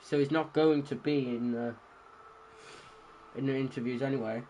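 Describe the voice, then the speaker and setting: A man's voice: mid-range voice, steady tone, normal speed, warm tone, a little hiss or hum. A man hosting a casual stream with a cold, talking in a rambling, unsure way with many restarts. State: has a cold, a bit congested. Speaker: stream host. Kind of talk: casual chat.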